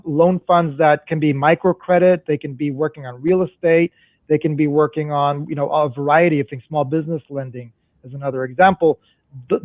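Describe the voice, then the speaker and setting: A man's voice: fairly high pitch, no room sound. Man on a tinny phone-like line. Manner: explanatory, many restarts and tag questions. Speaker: panel guest, community development banker. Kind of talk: panel discussion answer. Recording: dry, phone-like remote audio.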